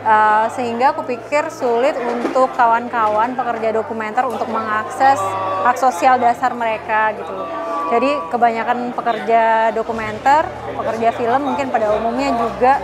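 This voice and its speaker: A woman's voice: fairly high pitch, fairly even intonation, moderate pace, loud music behind the voice, over a noisy crowd. A woman speaking reflectively and earnestly in an interview about workers. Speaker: documentary maker. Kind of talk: interview statement.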